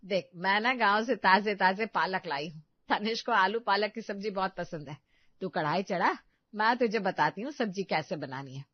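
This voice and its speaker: A woman's voice: mid-range voice, normal speed, no room sound, muffled recording, natural voice. A woman voicing a bossy, middle-aged village mother-in-law who scolds and gives instructions. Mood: scolding.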